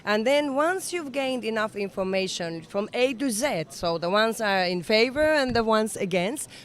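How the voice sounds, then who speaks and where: A woman's voice: on the high side, animated, normal speed. A woman in her sixties from Finland, a native Finnish speaker, giving an earnest, enthusiastic interview at an event. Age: 60s. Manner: enthusiastic, earnest, slightly non-native phrasing. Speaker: interview guest. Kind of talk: conversational interview. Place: event summit venue.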